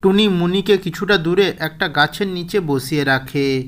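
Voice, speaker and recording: A man with a fairly high-pitched voice serves as the narrator of a children's cartoon tale. He delivers scripted storytelling lines. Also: normal speed, fairly bright tone.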